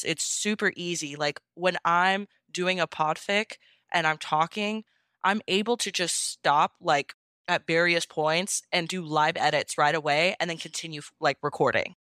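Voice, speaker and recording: A woman's voice: lowish voice, fairly bright tone, clean tone, dry recording, clean studio sound. A woman being interviewed, chatting informally and explaining things step by step in a casual way. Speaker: podfic narrator being interviewed.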